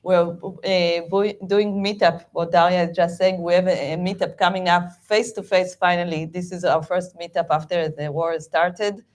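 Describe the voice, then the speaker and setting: A middle-aged woman with an Israeli accent, a native Hebrew speaker, giving a halting, animated talk with many fillers and restarts as a guest speaker at a meetup. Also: on the low side. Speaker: guest speaker, project management VP.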